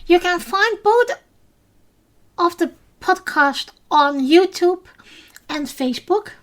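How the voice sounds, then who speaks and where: Woman, high voice, hesitant, lots of fillers, podcast recap host. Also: animated, slow pace. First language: Dutch. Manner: hesitant, many fillers and restarts; simple non-native phrasing. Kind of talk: casual episode recap.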